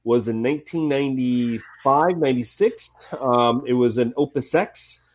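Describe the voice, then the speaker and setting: A man from Ohio with a cold and a slightly raspy voice, a guest telling stories in a relaxed, chatty way with many fillers. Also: medium pitch, a little slow, poor audio. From Cincinnati, Ohio, US. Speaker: cigar industry guest. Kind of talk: casual podcast interview.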